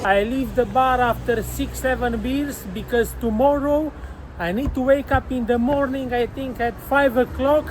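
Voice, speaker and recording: Man, football travel vlogger, halting English, high voice, faint street noise. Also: steady tone. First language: Romanian. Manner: halting non-native English with many fillers. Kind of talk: casual travel vlog narration.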